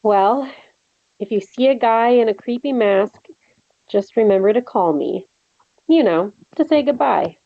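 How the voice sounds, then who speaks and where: Female best friend, horror drama, read by an actress: teasing, protective, wry, gravelly fry, dull lo-fi sound. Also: a little high, animated, unhurried, no echo. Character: Lex, teasing, protective young woman friend. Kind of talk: table read of a horror film script.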